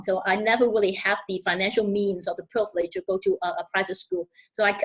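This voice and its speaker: Halting Hong Kong-accented woman panelist. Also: medium pitch, poor audio. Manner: halting, many fillers and repeated words. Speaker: webinar panelist. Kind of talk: webinar panel talk.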